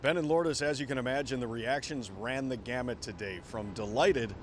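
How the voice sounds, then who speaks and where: A man's voice: mid-range voice, moderate pace, slight background noise. Male TV reporter, American, middle-aged, mid-range voice, scripted news delivery.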